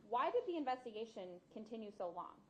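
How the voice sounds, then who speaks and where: A woman's voice: on the high side, very animated, brisk, darkish tone, slight background noise, low-quality recording. An American woman speaking as a prosecutor, questioning a witness in formal direct examination in a courtroom.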